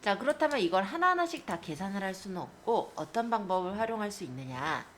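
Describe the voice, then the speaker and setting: A woman teacher giving a step-by-step software tutorial, explaining politely to learners. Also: mid-range voice, very animated, fast talker, slight background noise.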